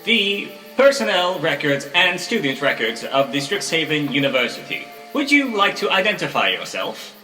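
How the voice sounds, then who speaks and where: A man acts out a literal-minded robot archivist built for combat and record-keeping in a tabletop game. The voice is bright and animated in pitch yet precise and courteous, in a roomy recording with music underneath. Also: moderate pace, hardly any pauses, distant mic. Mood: formal, polite. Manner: formal, precise, clipped.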